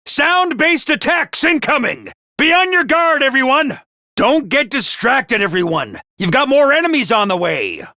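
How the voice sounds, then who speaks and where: A man voicing a peppy, bossy little mascot commanding officer in a video game, with a high cartoonish voice and theatrical, jaunty drill-sergeant delivery. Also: sing-song, measured pace, bright, forceful tone, dry recording, studio quality, exaggerated voice. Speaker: voice actor for a video game character. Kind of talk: animated video game voice acting.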